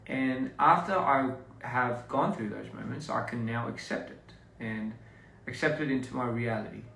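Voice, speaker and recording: A young man talks casually on a live stream in a relaxed, wandering way. He sits a little back from the mic in an echoey room. A faint room hum runs underneath. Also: mid-range voice, normal speed, vocal fry, distant mic. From Australia. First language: English. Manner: relaxed and rambling, reading comments aloud.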